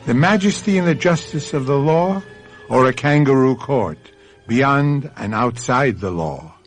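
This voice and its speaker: A man hosting a radio mystery drama, narrating in a dramatic, portentous, deliberate manner. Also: medium pitch, lively intonation, soft background music. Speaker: host and narrator.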